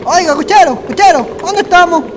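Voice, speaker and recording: A man's voice: very high voice, expressive, brisk. A man playing an eager, polite Chilean country traveller in a radio drama, with colloquial, insistent speech. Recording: noisy ambient radio drama recording.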